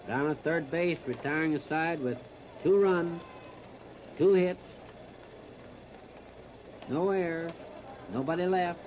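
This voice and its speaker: A man's voice: fairly high pitch, slow, long pauses. An American man calling baseball play-by-play in a 1930s radio style, in short clipped phrases. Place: outdoor ballpark broadcast. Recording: noisy, muffled 1939 radio disc recording.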